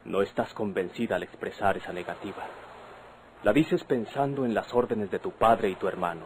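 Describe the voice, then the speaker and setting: Guy playing earnest mexican husband in old radionovela, lowish voice, formal and persuasive, bit of background noise. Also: lively intonation, a little mellow, natural voice. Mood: insistent. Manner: measured, persuasive, formal diction. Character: earnest young husband, bandit's son, pleading with his wife. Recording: noisy vintage radio drama recording.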